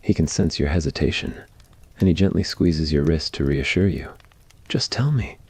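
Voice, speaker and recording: A man's voice: rumbling low, moderate pace, darkish tone, husky, no echo. A man reading scripted story narration in an ASMR roleplay style.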